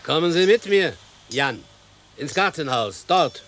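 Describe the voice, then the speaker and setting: A man's voice: fairly high pitch, big pitch swings, a little slow, fairly bright tone, a little hiss or hum. An actor playing an obliging, hardy coachman in a radio play, plain-spoken and familiar with his guest. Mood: hearty, brisk. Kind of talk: German radio play acting.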